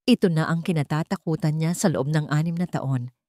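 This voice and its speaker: A woman's voice: sits low, brisk, dark tone, no echo, clean studio sound. A woman with a Filipino accent reading a scripted romance story as a dramatic narrator.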